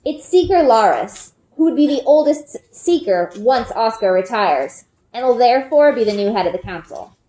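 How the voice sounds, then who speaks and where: A woman narrates a children's story with a fairly high voice. Her intonation is very lively and expressive, shaped carefully for young listeners. The recording has a clear room echo. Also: normal speed, few pauses. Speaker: storybook reader. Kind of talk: expressive read-aloud narration of a children's fantasy novel. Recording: echoey, reverberant room.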